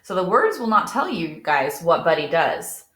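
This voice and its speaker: Woman, teacher read-aloud, expressive storytelling, very varied intonation, roomy echoing recording. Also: fairly low pitch, moderate pace. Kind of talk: expressive picture-book read-aloud with questions to children.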